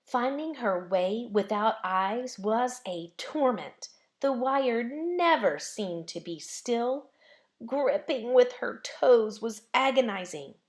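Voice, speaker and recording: A woman reading a children's picture book aloud with expressive, measured phrasing. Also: fairly high pitch. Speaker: storybook reader.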